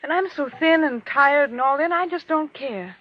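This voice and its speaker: An actress plays an earnest young woman in an old radio commercial. Her voice is fairly high and theatrically emphatic, on a muffled vintage recording. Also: normal speed, close to an everyday voice. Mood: dejected, weary. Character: earnest young lady giving a tonic testimonial. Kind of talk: 1940s radio advertisement acting.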